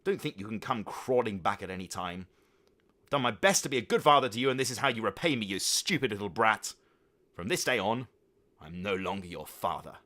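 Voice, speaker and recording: A man's voice: fairly low pitch, expressive, a little fast, pushed and bright. A man doing a flustered, weak-willed dad. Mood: indignant. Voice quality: flustered, stammering. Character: weak, anxious middle-aged father.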